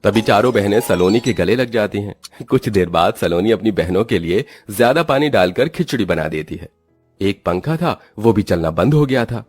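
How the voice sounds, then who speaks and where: A man's voice: fairly low pitch, expressive, normal speed, slightly rough, dry recording, soft background music. A man reading scripted narration for a children's cartoon story as its narrator.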